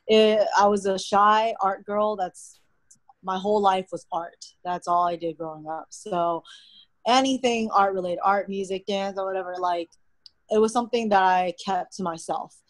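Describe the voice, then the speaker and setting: A young American woman whose first language is Mandarin, telling stories in a relaxed, chatty way as a podcast guest. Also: mid-range voice, normal speed, no room sound, rough recording. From United States. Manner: relaxed, chatty storytelling with frequent "like". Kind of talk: casual interview conversation.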